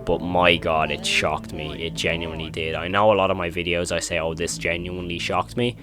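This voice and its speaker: A man's voice: low-pitched, level tone, a little fast, a bit bright, faint music behind. A man hosting a horror video commentary, retelling and reacting in an informal, emphatic way.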